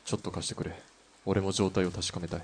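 A man playing a terse, cool sniper in a Japanese audio drama, deadpan and unhurried when talking shop. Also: deep voice, slight background noise. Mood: cool, absorbed.

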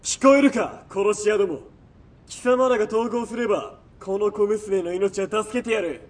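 An actor playing a sadistic, menacing military police villain in a radio drama, sneering and mocking, from quiet threats to barked orders. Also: male voice, high voice, steady tone, normal speed. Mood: barking, furious. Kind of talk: Japanese radio drama acting.

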